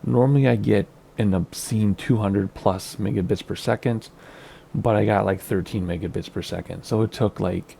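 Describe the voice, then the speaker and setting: A man with a raspy voice from smoke, chatting casually and thinking aloud as he clicks through game menus on his home computer. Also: fairly low pitch, normal speed, mellow, slight background noise. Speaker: flight sim video host. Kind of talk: casual gameplay commentary.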